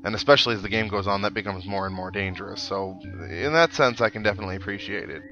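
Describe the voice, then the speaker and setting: A man's voice: on the low side, fast, bright, forceful tone, highs cut off. A young man giving casual, rambling video game commentary with reflective asides. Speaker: let's play host. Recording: voice-over mixed with game music. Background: video game music.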